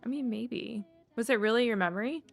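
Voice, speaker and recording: A woman's voice: a little high, fairly quick, gravelly, faint music behind. A woman streamer giving casual gameplay commentary and reading dialogue choices aloud. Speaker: game streamer.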